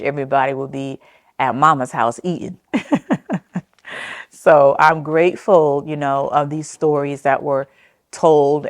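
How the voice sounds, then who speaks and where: A middle-aged woman speaks in a strikingly deep voice, telling her family's history in an oral history interview. She is gentle and unhurried, pausing often with a soft "um". The sound is close and dry, with no room echo. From New Jersey. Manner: gentle and unhurried, with frequent "um" and soft trailing phrases. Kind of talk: reflective personal storytelling.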